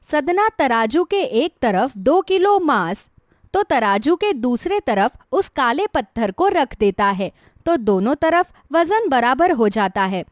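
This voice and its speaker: A woman's voice: sits high, sing-song, slow, dry recording, studio quality. A woman narrating a moral tale as a scripted bedtime story.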